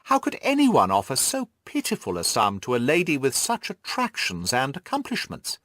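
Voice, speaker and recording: This is a stout, middle-aged gentleman from a classic storybook , acted out by a male reader. He is effusively genial and exclamatory, repeating himself, with a voice that leaps up and down in a dry, close recording. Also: medium pitch, huge pitch swings, moderate pace, no echo. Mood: blustering, flattering. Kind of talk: audiobook character voice.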